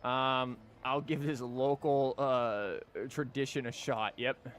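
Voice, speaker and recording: A man's voice: medium pitch, steady tone, slow, a bit bright. Male streamer, casual game commentary, wry and rambling, light music underneath.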